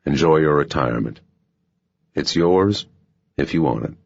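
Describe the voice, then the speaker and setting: A man's voice: a bass voice, expressive, moderate pace, a little mellow, exaggerated voice. A man voicing a blunt, world-weary intelligence official in an audiobook, frank and wry. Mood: matter-of-fact. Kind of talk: audiobook character dialogue. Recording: muffled, dull audio.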